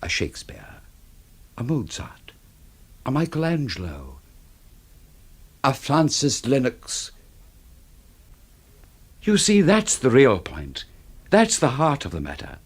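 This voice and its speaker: An actor playing an arrogant older aesthete villain in a radio drama, pompous, theatrical and grandly scornful. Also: male voice, mid-range voice, wildly swooping pitch, slow, pauses often, breathy edge, exaggerated voice. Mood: grandly oratorical. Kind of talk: suspense radio drama acting.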